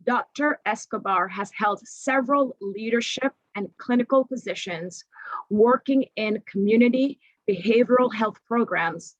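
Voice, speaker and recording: A woman moderating a panel on a video call, reading out a speaker introduction in a formal, measured way. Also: on the high side, no echo, low-quality recording.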